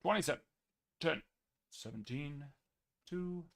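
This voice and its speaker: A young man hosting a live card break, chatting casually and reading numbers off in a steady list, his voice a little worn. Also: on the high side, a little slow. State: losing his voice.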